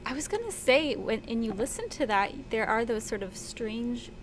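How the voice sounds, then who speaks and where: A woman's voice: on the high side, very animated, moderate pace, noisy background. A woman interviewing a guest, friendly and curious, asking questions and agreeing readily. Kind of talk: casual conversational interview.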